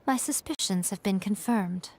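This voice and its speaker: An actress playing a composed, teasing shrine priestess in a video game, speaking in a measured, formal, theatrical way. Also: female voice, mid-range voice, a little hiss or hum, close to an everyday voice. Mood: composed, knowing. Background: game music.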